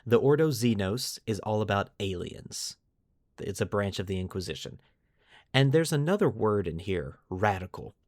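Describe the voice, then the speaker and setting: A man's voice: fairly low pitch, expressive, moderate pace, a little mellow, dry recording, clean studio sound. A man hosting a podcast, explaining lore to friends in an informal, enthusiastic way. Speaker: podcast host.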